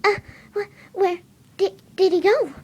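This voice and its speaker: Woman voicing a very high-pitched, timid pegasus pony. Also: slow, darkish tone. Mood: anxious. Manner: nervous, hesitant stammering. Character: timid, gentle, motherly female pegasus pony. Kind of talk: fan fiction dramatic reading.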